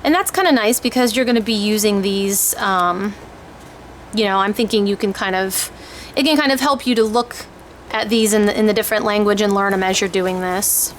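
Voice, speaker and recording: A woman's voice: medium pitch, moderate pace. A woman giving a casual, explanatory product overview in an informal, hesitant way, as if thinking aloud. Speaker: homeschooling parent reviewing language kits. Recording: clear background noise.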